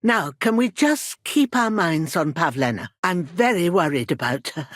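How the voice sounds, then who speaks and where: A woman's voice: fairly low pitch, lively intonation, moderate pace, no echo, studio quality. An actress playing a worried old village herbwoman in a video game, protective and proud, with a plain-spoken rustic manner. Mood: worried, pleading. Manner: rustic, plain-spoken, folksy turns of phrase. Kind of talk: scripted video game character dialogue.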